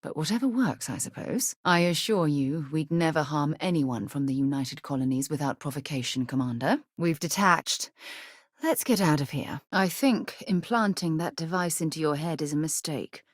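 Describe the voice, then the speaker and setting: A woman's voice: sits low, lively intonation, moderate pace, dry recording, studio quality. An actress playing an earnest, principled woman who leads space explorers in a video game, speaking articulately and with composure. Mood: composed, concerned. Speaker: voice actress for a game companion character. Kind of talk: acted video game dialogue lines.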